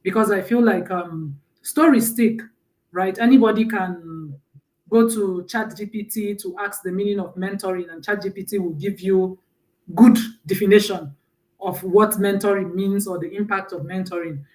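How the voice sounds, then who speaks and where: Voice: mid-range voice, normal speed, darkish tone, far from the mic, poor audio. A guest speaker from Nigeria with a Nigerian accent, telling personal stories in a conversational talk and often checking in with "right?".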